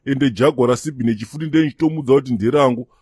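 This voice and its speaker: Man doing a raspy, mouthy Zimbabwean rude boy. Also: mid-range voice, racing, a little mellow, dry recording, natural voice. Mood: boastful. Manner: insolent, slangy. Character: cocky, mouthy young township troublemaker with rude-boy swagger.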